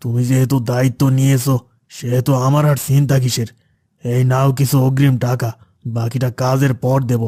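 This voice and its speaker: A man's voice: medium pitch, flat delivery, a little fast, dark tone, close to an everyday voice. A man voicing an envious, resentful schemer in a cartoon, speaking in an aggrieved manner. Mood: eager, satisfied. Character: envious, resentful man plotting against his friend. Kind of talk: cartoon voice acting.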